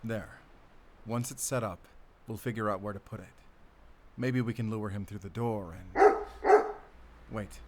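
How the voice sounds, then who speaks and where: A man's voice: fairly low pitch, unhurried, pauses often, close to an everyday voice. A man playing a grim, commanding werewolf hunter, speaking tersely in an audio drama. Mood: quiet, focused. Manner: terse, tense, giving orders. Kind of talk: cinematic audio drama acting. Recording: clear background noise.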